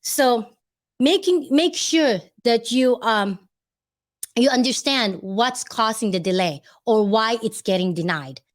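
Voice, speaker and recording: A woman's voice: a little high, lively intonation, slow. Rambling woman with a Filipino accent. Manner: rambling, searching for words, many fillers; chatty, laughs easily. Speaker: vlogger giving advice. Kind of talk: casual talk to camera.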